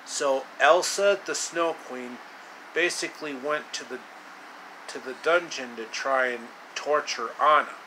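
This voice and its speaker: A man retelling a fairy tale in his own loose way, with halting, drawn-out delivery and casual asides. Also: mid-range voice, pushed and bright, slight background noise, rough recording. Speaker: storyteller.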